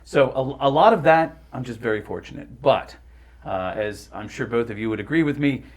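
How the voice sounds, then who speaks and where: A male voice actor speaks as an interview guest. His pitch is medium, and his delivery is articulate and earnest in relaxed, casual conversation. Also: moderate pace.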